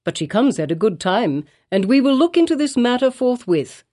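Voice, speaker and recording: A woman's voice: fairly high pitch, big pitch swings, normal speed, warm tone, voice pushed up. A woman voicing a stern, pompous elderly male colonial governor in archaic speech.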